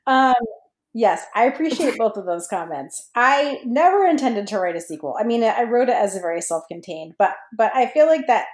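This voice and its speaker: A woman's voice: on the high side, animated, normal speed. A woman guest on a podcast, a fan fiction writer, talking casually and explaining things in an informal way. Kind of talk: casual discussion. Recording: roomy, echoey sound.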